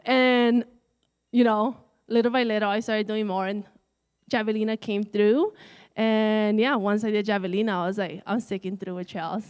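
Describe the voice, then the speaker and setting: A woman's voice: mid-range voice, steady tone, normal speed, a little mellow, clean tone. A woman runner, a guest in a live interview, telling her own story in a casual way. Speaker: guest, ultrarunner. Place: live event stage.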